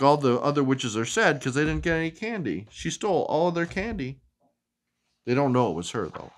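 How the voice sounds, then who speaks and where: A woman reading a storybook aloud to a young child in an expressive, sing-song way. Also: low voice. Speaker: storybook reader.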